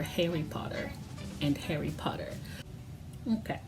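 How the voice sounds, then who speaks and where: A woman, a Lingala speaker, chatting casually to camera at home and laughing often. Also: sits low. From Democratic Republic of Congo. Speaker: vlogger. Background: background music.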